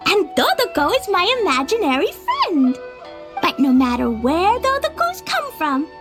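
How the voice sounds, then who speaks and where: A woman voicing an excitable, eager little girl adventurer in a video game, with music behind her. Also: very high-pitched, heavily acted. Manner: eager, childlike.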